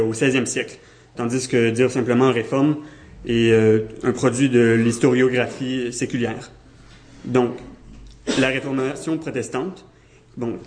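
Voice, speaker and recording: A man with a Québécois French accent giving an academic lecture, pausing often with fillers and restarting phrases. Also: mid-range voice, a little mellow. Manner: hesitant, many "euh" fillers and restarts.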